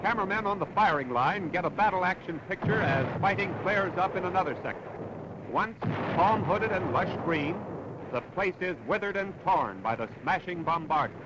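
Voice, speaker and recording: A man's voice: sits high, expressive, moderate pace. An American man reading a scripted wartime newsreel in a dramatic, declamatory 1940s style. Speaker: newsreel narrator. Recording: dull, very noisy 1940s newsreel soundtrack.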